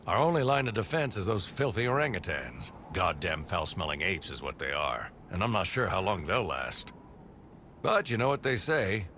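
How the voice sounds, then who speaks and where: An actor playing a gruff, foul-mouthed American military officer in a video game, blunt and sardonic, with a swaggering delivery. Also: male voice, low voice, pushed and bright, a little hiss or hum. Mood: disgusted, grim. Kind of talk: video game cutscene acting.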